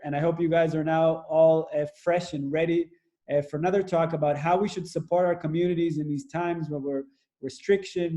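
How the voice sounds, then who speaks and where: A man's voice: on the high side, mellow. A man moderating an online webinar over a video call, introducing the next speaker with many fillers.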